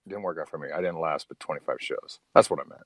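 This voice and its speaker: A man's voice: extremely low pitch, very fast, slightly rough, no echo, clean studio sound. A man in his fifties chatting candidly on a call-in show, rambling, repeating himself and swearing freely. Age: 50s. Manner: rambling, repetitive, profane. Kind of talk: casual, candid chat.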